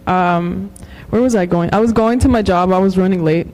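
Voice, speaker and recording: A woman's voice: fairly low pitch, normal speed, darkish tone, rough recording. A young woman from Los Angeles, a musician guest, chatting casually with many fillers and frequent laughs. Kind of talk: casual interview chat.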